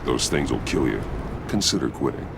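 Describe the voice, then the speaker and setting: An actor playing a grim, brooding masked vigilante in a video game, with a terse, gravelly growl. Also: male voice, expressive, moderate pace, heavily acted. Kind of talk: dramatic video game acting. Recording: rumbling vehicle noise behind.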